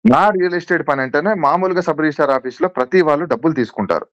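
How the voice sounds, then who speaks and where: A man's voice: fairly high pitch, expressive, rapid-fire, no echo, dull audio. A man being interviewed on a recorded call, protesting insistently and repeating himself as he defends his position.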